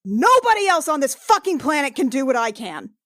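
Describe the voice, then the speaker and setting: A woman's voice: high-pitched, big pitch swings, brisk, fairly bright tone, no echo, studio quality. A woman playing an anxious, guilt-ridden, stubborn healer in an improvised tabletop game, arguing emphatically and swearing. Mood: desperate insistence. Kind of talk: in-character improvised tabletop roleplay.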